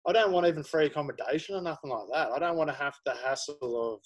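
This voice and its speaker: A man's voice: on the high side, fast talker. A man, chatting casually as a podcast guest, rambling with lots of fillers. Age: 30s. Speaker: guest photographer.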